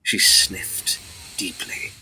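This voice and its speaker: A man with a husky voice narrating a gothic drama in a solemn, deliberate, theatrical way. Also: pushed and bright, slight background noise.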